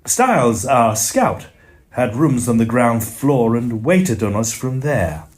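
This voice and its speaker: A man's voice: medium pitch, big pitch swings, slow pace, a little hiss or hum. A man playing a genial, studious young Oxford student in a Victorian audio drama, with a British accent and polite, clipped period speech. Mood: measured narration. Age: young adult.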